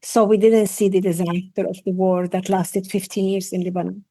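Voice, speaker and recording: A woman's voice: medium pitch, little pitch movement, normal speed, mellow, low-quality recording. A woman in her sixties from Iraq, raised in Venezuela, whose first language was Assyrian Aramaic, speaking reflectively as a guest with frequent restarts. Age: 60s. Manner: reflective, repeats and restarts phrases. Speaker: guest, psychotherapist and breathwork trainer. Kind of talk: casual interview conversation.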